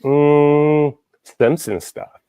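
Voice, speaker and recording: A man's voice: a little high, fairly even intonation, extremely slow, mellow, clear, resonant tone, dry recording. A man from Texas, hosting a show and chatting casually, rambling with lots of fillers and restarts. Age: adult, grew up in the 1980s. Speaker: show host. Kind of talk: casual podcast chat.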